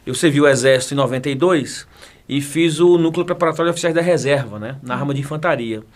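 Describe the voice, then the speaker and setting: Guy in his 40s, jokey and chatty, pretty high voice. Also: fast. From Bahia, Brazil. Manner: chatty, jokey, laughs often, repeats words. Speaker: guest, federal police chief and teacher. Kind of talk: casual interview talk.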